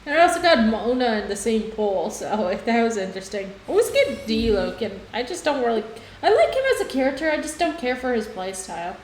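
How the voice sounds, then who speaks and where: A woman's voice: on the high side, sing-song, a little fast, slight background noise. A woman chatting casually as she livestreams a video game, with game music behind her. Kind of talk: casual livestream gaming chat. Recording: echoey room, music behind.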